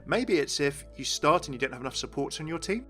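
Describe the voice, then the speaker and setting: Bright-voiced man hosting a gaming video. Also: on the high side, lively intonation, fast talker. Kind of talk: enthusiastic explainer narration. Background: music.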